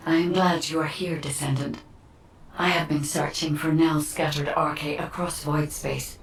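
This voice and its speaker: A woman voicing a calm, mysterious artificial intelligence guide in a video game, formal and breathy, with an ethereal echo. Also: low voice, a little slow, slight background noise. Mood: calm, puzzled. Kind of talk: dramatic video game cutscene acting. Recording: echoing, distant-sounding processed voice.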